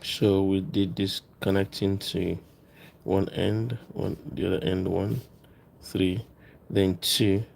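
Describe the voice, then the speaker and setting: A man presenting a casual hands-on electronics tutorial, explaining as he works in a halting, informal way, thinking aloud. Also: deep voice, gravelly, a little mellow, a little hiss or hum.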